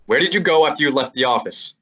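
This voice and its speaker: A young man voicing a wisecracking male private eye who narrates a radio play, with a brisk, wry manner. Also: fairly high pitch, bright, forceful tone, breathy edge. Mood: urgent. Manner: brisk, wry, hard-boiled. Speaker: high school drama student. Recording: muffled lo-fi recording.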